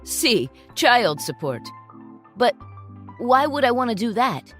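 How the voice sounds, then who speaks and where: A woman's voice: fairly high pitch, very animated, slow, a bit bright, faint music behind, full character voice. A woman voicing a smug, selfish man who sneers at his ex-wife. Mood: sneering. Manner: sneering, condescending. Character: smug selfish ex-husband, middle-aged man.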